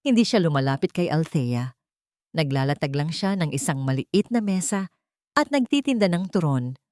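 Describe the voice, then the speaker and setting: A woman's voice: low-pitched, a little mellow, no room sound, studio quality. A woman reading a scripted romance story as a storyteller.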